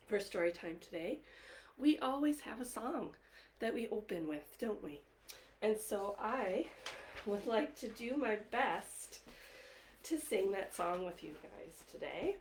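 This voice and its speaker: A woman hosting a live online story time for young children, speaking directly to them in an encouraging, gently questioning way. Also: mid-range voice, a little hiss or hum, rough recording. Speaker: library story time host.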